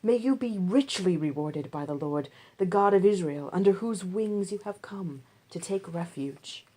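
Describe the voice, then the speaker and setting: A woman voicing a kindly, protective male landowner in a Bible story, giving measured, reassuring instructions. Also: lowish voice, animated, dark tone.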